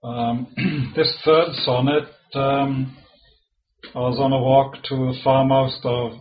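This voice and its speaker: Slow, measured Welsh man reading poems. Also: medium pitch, little pitch movement, muffled recording. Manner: slow and measured, with halting asides between poems. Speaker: poet. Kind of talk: reading his own poetry aloud.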